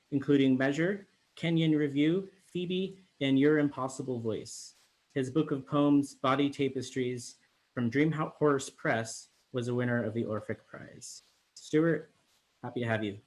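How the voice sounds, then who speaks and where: A man's voice: medium pitch, rough recording. A young man from the Bay Area, managing editor, casually hosting a virtual reading with chatty asides. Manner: chatty, relaxed, with fillers.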